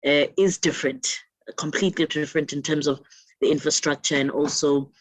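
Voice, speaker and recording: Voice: mid-range voice, steady tone, brisk, dry recording. A panel moderator who thanks speakers, asks questions and gives polite short affirmations.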